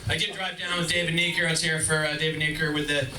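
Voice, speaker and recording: A man doing a stand-up comedy set in a club, self-deprecating and breaking into shouty bursts. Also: on the high side, little pitch movement, fast talker, noisy background. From Boston, Massachusetts. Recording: echoey club from far off. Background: audience laughter.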